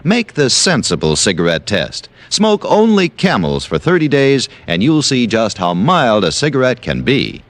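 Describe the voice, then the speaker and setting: A man reading a scripted vintage radio advertisement as an emphatic sales pitch. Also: huge pitch swings, moderate pace, few pauses, slight background noise. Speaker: commercial announcer.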